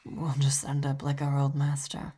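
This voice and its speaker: A woman voicing a weary, devoted vampire ruler and wife in an ASMR roleplay, speaking softly, often in a whisper, with halting, reflective phrasing and sighs. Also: rumbling low, completely flat delivery, slight background noise. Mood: worried, earnest.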